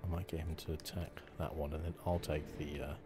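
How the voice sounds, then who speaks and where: Very deep-voiced man, soft ASMR commentary. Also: level tone, a little fast. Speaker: gaming channel host. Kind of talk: relaxed ASMR game playthrough commentary. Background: game music.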